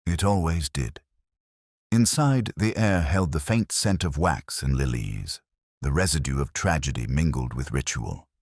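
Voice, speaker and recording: A man reading a scripted first-person detective story in a measured, brooding, reflective way. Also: rumbling low, animated, a little mellow, dry recording, clean studio sound. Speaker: narrator.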